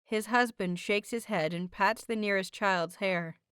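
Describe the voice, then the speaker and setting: A woman hosting and narrating a fiction audiobook in an expressive, carefully enunciated storytelling style. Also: medium pitch, unhurried, smooth, no room sound, clean studio sound. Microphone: close microphone, dry recording.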